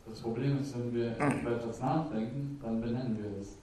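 A male interpreter relaying a talk phrase by phrase, now and then pausing on a filler sound. His voice is dark and mellow. He sounds set back from the microphone in a strongly echoing room. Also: medium pitch, fairly even intonation. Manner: slightly hesitant, with filler sounds. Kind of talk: live consecutive interpreting. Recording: very echoey, from a distance.

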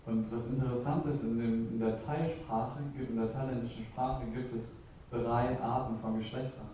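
A male interpreter relaying a talk phrase by phrase, now and then pausing on a filler sound. His voice is dark and mellow. He sounds set back from the microphone in a strongly echoing room. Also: medium pitch, steady tone, slight background noise. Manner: slightly hesitant, with filler sounds. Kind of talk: live consecutive interpreting. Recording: very echoey, from a distance.

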